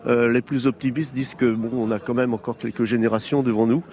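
Calm man explaining, outdoors by a harbor. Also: medium pitch, fast talker. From France. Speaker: island mayor. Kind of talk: outdoor interview.

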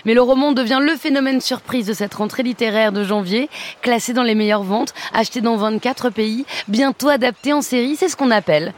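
A woman radio host reading a crisp portrait of her guest, then asking questions in a chatty way. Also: a little high, fairly quick. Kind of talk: scripted portrait then interview.

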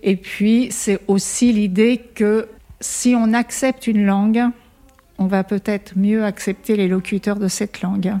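A woman explains her association's approach in an earnest, patient interview. Her voice is mellow and warm at an ordinary pitch. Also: little pitch movement, unhurried. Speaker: association president. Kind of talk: interview answers.

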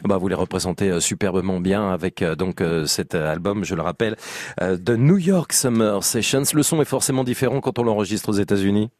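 A man with a husky voice, presenting a live radio interview in an effusive, admiring way. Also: low-pitched, brisk, a little mellow, dry recording, studio quality. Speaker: radio host.

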